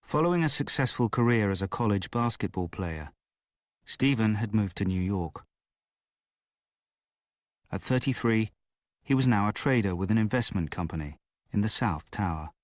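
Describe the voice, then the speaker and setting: Dry, lowish male documentary narration. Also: lively intonation, moderate pace, pauses often, no echo. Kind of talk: scripted narration.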